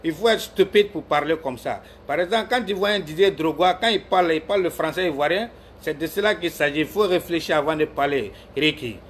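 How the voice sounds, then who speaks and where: Man from Ivory Coast, live-stream commentator, a bit high-pitched, combative and repetitive, faint noise behind. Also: brisk, hardly any pauses. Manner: argumentative, scolds viewers by name, repeats himself. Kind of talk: heated political commentary.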